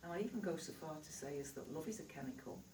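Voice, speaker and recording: A woman's voice: low-pitched, expressive, racing, dark tone, recorded from a distance, slight background noise. Yorkshire woman speaking in an echoey hall. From Yorkshire, England. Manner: chatty, reassuring, laughs easily. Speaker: spiritual healer. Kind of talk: live stage talk to an audience. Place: hall with a live audience. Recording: echoey hall recorded from afar.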